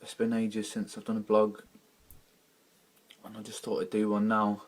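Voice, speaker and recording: A man from Wales, speaking in a halting, emotional video diary while distressed and close to tears. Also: lowish voice, completely flat delivery. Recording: old archival video clip.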